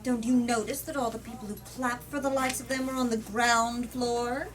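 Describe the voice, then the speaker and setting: Casting: woman as kindly, placid storybook lady, gently knowing, higher voice, slightly off-mic. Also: steady tone, moderate pace, natural voice. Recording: distant mic with background noise.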